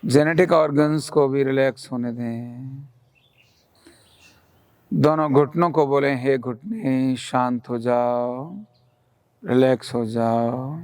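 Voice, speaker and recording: A man guides a relaxation meditation at an unhurried, very slow pace. His mid-pitched voice has a noticeable creak. He gives calm, soothing instructions as gentle, repeated commands. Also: no room sound.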